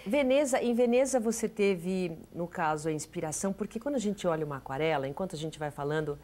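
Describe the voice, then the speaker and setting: Woman interviewer, lower voice, curious and lively. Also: quick pace. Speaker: TV interview host. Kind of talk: broadcast interview.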